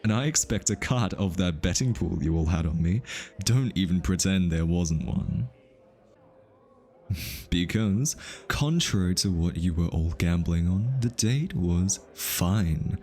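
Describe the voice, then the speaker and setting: A man's voice: deep voice, expressive, measured pace, mellow, slight background noise. A male voice actor playing an easily flustered, teasing hitman who is smitten, in an ASMR roleplay. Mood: teasing, defensive. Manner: teasing, stammers and backtracks when flustered.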